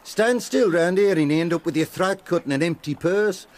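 An actor playing a blunt, mocking, local in a radio drama. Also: male voice, high-pitched, normal speed, lightly acted. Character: blunt, streetwise, mocking working-class local.

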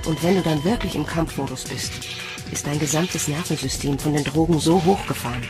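A woman's voice: sits low, a little fast, over loud music, close to an everyday voice. A young woman playing a weary, cynical space recruit narrating a German sci-fi audio drama in a matter-of-fact, sardonic way.

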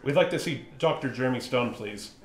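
An actor playing a firm, formal army captain in a radio drama. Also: male voice, medium pitch, brisk, lightly acted. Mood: insistent. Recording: echoey room sound.

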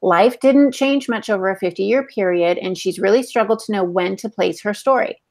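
A woman in her forties with a medium-pitched voice, co-hosting a podcast over a video call from home. She is chatty and informal as she relays questions from listeners. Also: moderate pace, a little mellow. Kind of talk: casual chat and reading out questions. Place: small home room, indoors. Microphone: video call on earphones.

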